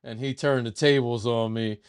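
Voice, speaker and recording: Smooth-voiced man hosting a sports podcast. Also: medium pitch, fairly even intonation, normal speed. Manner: playful, self-mocking. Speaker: podcast host. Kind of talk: casual sports chat.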